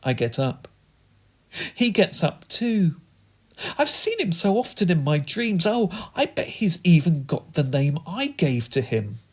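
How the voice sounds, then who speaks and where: A man performing comic spoken-word poetry in a theatrical, whimsical and deliberate manner. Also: a little high, sing-song. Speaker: performance poet.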